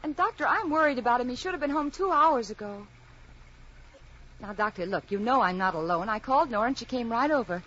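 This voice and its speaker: An actress playing an earnest, emotional wife in a melodramatic radio drama. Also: female voice, a little high, animated, fairly quick, fairly bright tone, highs cut off. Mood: worried, reassuring. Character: anxious, loving pregnant wife, later sad and reflective narrator. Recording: vintage radio drama with music behind.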